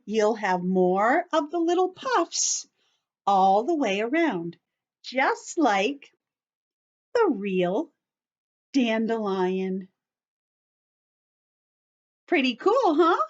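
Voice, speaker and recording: A woman's voice: on the high side, highs cut off. A woman teacher demonstrating a craft step by step for young children, speaking simply and instructively and addressing them directly. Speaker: children's story-time teacher showing a craft.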